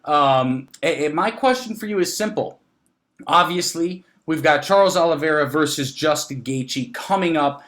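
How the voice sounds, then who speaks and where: A man with a fairly high voice works as a podcast host. He talks about sports in a casual, chatty way, with an enthusiastic manner and a habit of repeating phrases for emphasis. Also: normal speed, a bit bright.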